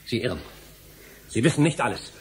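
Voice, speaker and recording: A man's voice: medium pitch, big pitch swings, fairly quick, natural voice. An actor playing an anxious, stubborn male academic in a German radio drama, agitated and doubting as he presses his point.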